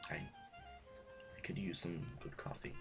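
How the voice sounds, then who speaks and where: An actor in an audio drama playing an earnest, hesitant student and confidant who talks in a tentative, halting way. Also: male voice, lightly acted. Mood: casual. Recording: music clearly behind the voice.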